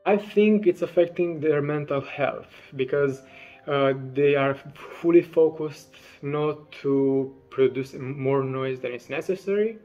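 A man's voice: on the high side, unhurried, darkish tone, lo-fi sound, soft background music. A young man from Romania giving blunt, plainspoken answers into a webcam indoors. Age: young adult. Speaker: interviewee. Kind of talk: prepared video statement. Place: room indoors.

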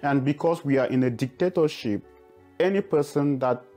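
A middle-aged man from Cameroon with a Cameroonian English accent, telling his story in an earnest, measured way in an office. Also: fairly high pitch, mellow, soft background music. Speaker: interviewee, human rights activist. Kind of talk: personal testimony. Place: office, indoors.

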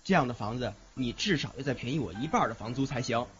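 A man acts a young student tenant in an audio drama, fussy and insistent. His pitch moves around a lot. The sound is slightly dull, with music behind him. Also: lively intonation, fast talker, lightly acted. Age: young adult. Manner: nitpicking, insistent. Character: fussy, hard-bargaining young male student renting a flat. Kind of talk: Chinese audio drama acting. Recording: muffled recording with music behind.

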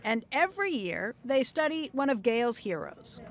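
A woman's voice: a little high, very animated, normal speed. An American woman reading scripted documentary narration.

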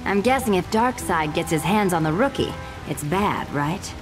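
Low-voiced sly woman cat burglar played by an actress. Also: expressive, moderate pace, close to an everyday voice, poor audio. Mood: sly, assured. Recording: loud music behind.

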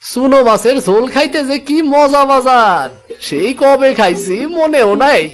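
Man voicing a very high-pitched eager village boy. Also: animated, a little slow. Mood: eager, hungry. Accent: rural Bangladeshi. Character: eager, loving young fisherman's son. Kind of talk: cartoon voice acting.

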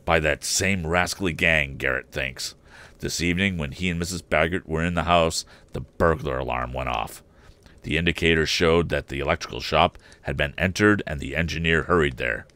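A man's voice: very deep voice, normal speed, pushed and bright, no room sound. A man voicing an agitated, impatient elderly inventor and father in a storybook reading. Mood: worried, agitated. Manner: agitated, insistent.

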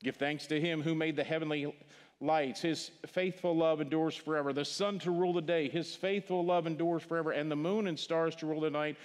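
An older man from Missouri with a Missouri accent, preaching a funeral sermon in a church sanctuary, earnest, repeating words and dropping to a hush on key phrases. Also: fairly high pitch, fairly even intonation, brisk. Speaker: pastor. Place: large church sanctuary stage. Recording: long hall reverb from the church.

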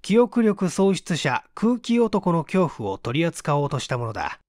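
A man narrating a literary mystery tale in a measured, dramatic storytelling manner with deliberate pauses. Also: on the high side, sing-song, a little mellow, no echo, studio quality. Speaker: audiobook reader, stage actor.

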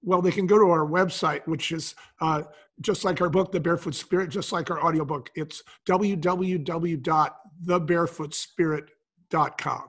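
A man's voice: fairly high pitch, steady tone, moderate pace, a bit bright, slightly rough. A man talking conversationally as an interview guest, explaining things in an illustrative way. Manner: explanatory, acts out imagined quotes. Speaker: podcast guest, business founder.

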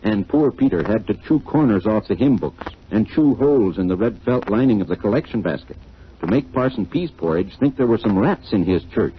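A man with a low voice and lively intonation tells a children's story from a script, measured and expressive. It sounds like a muffled 1940s record. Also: slight background noise.

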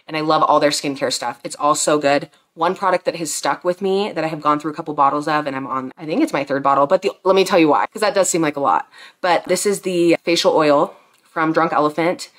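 A woman's voice: sits low, fairly bright tone. A pregnant young woman in her twenties chatting casually about beauty products from her bedroom, congested and enthusiastic, rambling with fillers. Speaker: beauty vlogger. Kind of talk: casual product review chat. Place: bedroom at home, indoors.

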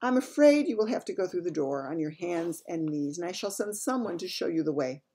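A woman voicing a composed, dignified older queen with polite, unhurried speech. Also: on the low side, lively intonation, mellow, a little hiss or hum, lightly acted.

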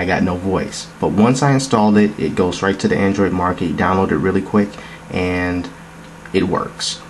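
A man with a low-pitched voice walks through an app demo. He talks informally and explains each step conversationally. The recording is indoors with a light layer of background noise. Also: poor audio. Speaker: app demonstrator. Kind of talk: casual tech demo narration. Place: indoors, phone demo on a wooden table.

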